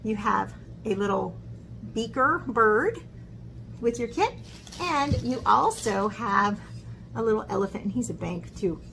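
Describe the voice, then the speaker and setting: A woman's voice: mid-range voice, expressive, a little slow, creaky, long pauses, fairly bright tone, a little hiss or hum, poor audio. A woman talking children through an art lesson as teacher and host, chatty and encouraging, often checking in with short questions. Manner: encouraging, chatty, frequent check-in tags like "okay?". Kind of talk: instructional video talk to children, with read-aloud.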